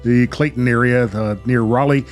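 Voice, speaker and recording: A man's voice: mid-range voice, steady tone, moderate pace, soft background music. An American man hosting a podcast, chatting casually and narrating. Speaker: podcast host.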